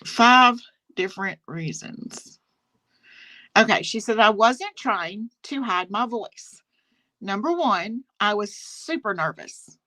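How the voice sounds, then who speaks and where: A woman's voice: mid-range voice, expressive, slow, sharp tone, low-quality recording. A woman with a Southern American accent hosting a casual live-stream chat, emphatic and repeating phrases for stress. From Southern United States. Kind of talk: casual drama commentary chat. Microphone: phone on speakerphone.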